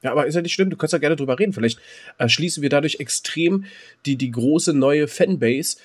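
A man with a German accent chatting casually as a podcast co-host, teasing and quick to interject. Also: fairly high pitch, lively intonation, breathy edge. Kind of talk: casual, bantering chat.

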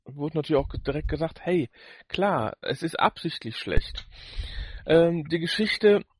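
A man's voice: fairly high pitch, animated, a little slow, breathy edge, no room sound. Man chatting on a podcast, dry sound. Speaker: podcast co-host. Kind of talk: casual review chat.

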